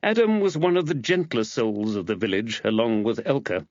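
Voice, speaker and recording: A man's voice: medium pitch, expressive, normal speed, a little mellow, dry recording, highs cut off. A man reading a mystery novel aloud as scripted audiobook narration.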